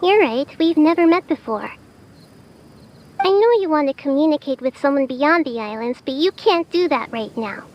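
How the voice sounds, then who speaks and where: A woman voicing a mysterious, composed young stranger in a video game, speaking in a cryptic, measured manner. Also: animated. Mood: calm, enigmatic. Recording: dull audio with faint rumble.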